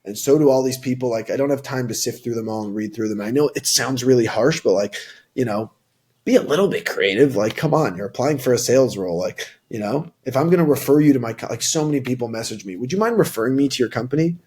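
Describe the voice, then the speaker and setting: A man's voice: medium pitch, fast talker, darkish tone, slightly rough. A young man working in tech sales, answering interview questions casually with lots of fillers, restarts and "like, you know.".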